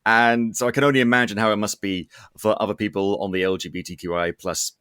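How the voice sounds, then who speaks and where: A man hosting a podcast in a casual, animated, self-deprecating and joking interview style. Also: on the low side, fast talker, clear, resonant tone. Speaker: podcast host.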